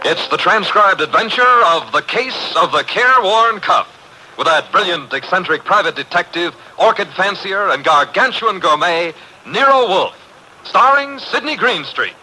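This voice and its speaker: A man announcing on 1940s radio with bright, emphatic promotional delivery. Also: high voice, animated, moderate pace, soft background music, low-quality recording.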